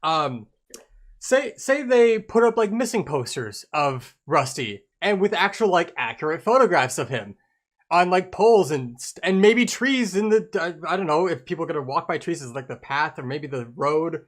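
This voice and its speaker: Guy hosting a book club podcast, kinda high voice, jokey and rambly. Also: expressive, moderate pace. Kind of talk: casual group discussion of a book.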